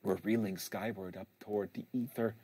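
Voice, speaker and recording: A man voicing a bitter, rambling father who goads his tearful son in a halting monologue from a novel. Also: on the low side, fairly even intonation, noisy background, lightly acted. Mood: needling, muted scorn. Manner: halting, repetitive, goading. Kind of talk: dramatic novel reading, monologue.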